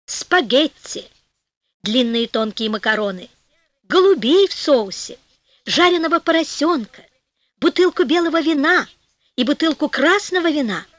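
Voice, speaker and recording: A woman reads a folk tale with theatrical expression. Her voice is high and her pace is slow and deliberate, in a close, dry recording. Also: animated, no echo. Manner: theatrical storytelling. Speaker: audiobook narrator.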